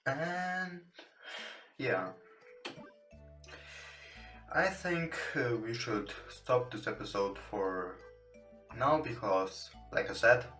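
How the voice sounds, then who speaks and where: A man who is not a native English speaker, casually commentating on a game and reading its text aloud in hesitant, halting English. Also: medium pitch. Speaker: let's play host. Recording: roomy, distant mic with music behind. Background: soft guitar game music.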